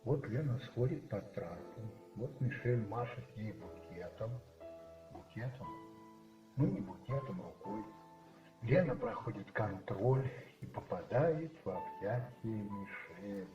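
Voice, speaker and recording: A man narrating a radio play as dramatic literary storytelling, musing and rhetorical, with dramatic pauses. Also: fairly low pitch, expressive. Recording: roomy, slightly muffled, with music behind.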